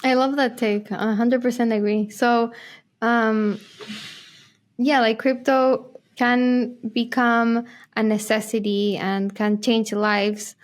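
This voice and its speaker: A woman's voice: a little high, level tone, slow. A woman from Chile hosting an interview, chatting casually with many fillers as she sets up her questions. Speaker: interviewer, host.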